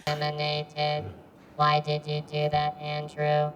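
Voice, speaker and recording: Woman, off-camera host, deadpan, low and very monotone, slow, loud music underneath. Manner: flat, deadpan questions.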